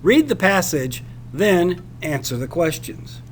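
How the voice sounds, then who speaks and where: Male story reader, high-pitched, expressive and deliberate, slight background noise. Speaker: reader of a literary passage. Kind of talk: expressive scripted audiobook-style narration.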